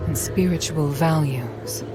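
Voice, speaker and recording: A grave, disillusioned adherent in a fantasy game. She speaks slowly and reflectively in a fairly low voice. Loud music plays over the monologue. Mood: solemn, regretful. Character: solemn, disillusioned female adherent of an old order.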